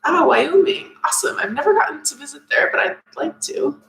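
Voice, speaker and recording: A woman's voice: high-pitched, animated, brisk, vocal fry. A young woman from Maine hosting a casual live Q&A, chatty and upbeat with lots of ums. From Maine, USA. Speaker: teacher recruiter hosting a live Q&A. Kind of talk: casual livestream chat answering comments. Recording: echoey room.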